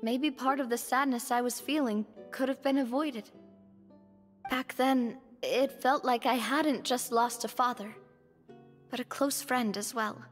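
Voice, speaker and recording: An earnest young rich girl in a game, high voice, echoey, music behind. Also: fairly even intonation, a little slow. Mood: wistful. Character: warm, earnest young noblewoman leader. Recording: game dialogue with long reverb and faint music.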